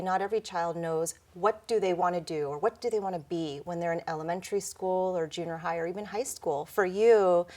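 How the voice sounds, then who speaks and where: A woman interviewing a guest, speaking in a measured, affirming way and echoing the guest's words back to her. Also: fairly low pitch, darkish tone. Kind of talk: interview questions and feedback.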